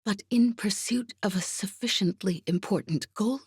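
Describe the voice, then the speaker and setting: A woman voicing a breathy, quietly defiant female spy. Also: mid-range voice, no room sound, studio quality, pitched above the performer's own voice. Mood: coolly taunting. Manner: quiet, assured, measured. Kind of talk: audiobook character acting.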